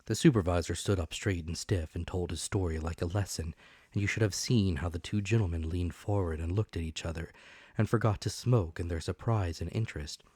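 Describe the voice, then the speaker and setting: A man's voice: low-pitched, fairly quick, darkish tone, breathy edge, no echo. A man reading a classic adventure story as an audiobook narrator, with dramatic scripted delivery.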